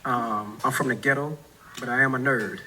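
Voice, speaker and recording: Bright-voiced man with a New Orleans accent. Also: mid-range voice, fairly even intonation, normal speed, slight background noise, low-quality recording. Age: 40s. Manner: laid-back, keeps adding "right?". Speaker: dating show contestant. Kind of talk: dating show conversation.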